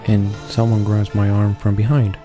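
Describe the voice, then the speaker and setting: A man's voice: fairly low pitch, completely flat delivery, moderate pace. A man reading along to a visual novel in a casual amateur way, stumbling over words and correcting himself. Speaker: gaming channel host reading along. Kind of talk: halting read-aloud of visual novel narration, casual asides. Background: game music playing softly.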